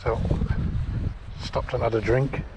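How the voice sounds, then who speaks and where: Middle-aged guy vlogging on a bike ride, chatty, laughs a lot, mid pitch, wind noise on the cam mic. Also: steady tone, slow pace. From England. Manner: chatty, rambling, chuckles often. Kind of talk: casual vlog narration while riding. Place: outdoors on windy cliff tops. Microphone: action camera on handlebars and held near face.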